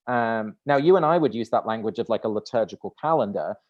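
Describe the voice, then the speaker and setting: A man's voice: lowish voice, expressive, fast talker, a little mellow, dull audio. A man in his thirties, a native Dutch speaker, talking through ideas as an interview guest, often restarting and repeating words. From Netherlands. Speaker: guest author. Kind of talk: conversational interview.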